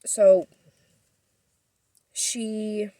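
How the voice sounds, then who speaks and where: A woman's voice: mid-range voice, little pitch movement, extremely slow, dark tone, no room sound. A young woman telling a personal story as a vlogger, rambling and defensive with many fillers, sniffling.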